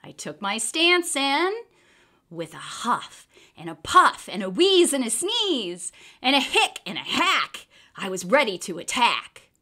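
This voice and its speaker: A woman reads a children's story aloud. Her voice is bright and forceful, with wide, lively swings in pitch. The delivery is expressive and theatrical. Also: slow pace. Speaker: storybook reader. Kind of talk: dramatic children's book read-aloud.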